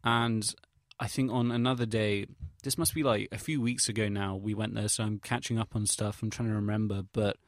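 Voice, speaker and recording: A young English man with a fairly low voice talks in a casual audio log, recorded close and dry. He rambles hesitantly through his recollections, using slangy, sweary, self-deprecating wording. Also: fairly even intonation, a little fast, dry recording. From England. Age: young adult. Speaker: vlogger.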